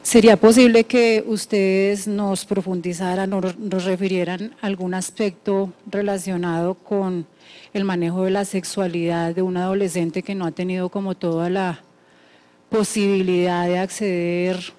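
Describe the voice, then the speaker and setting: Casting: woman, dark mellow tone, flat intonation, formal and hesitant audience questioner. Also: on the low side, unhurried. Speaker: audience member asking questions.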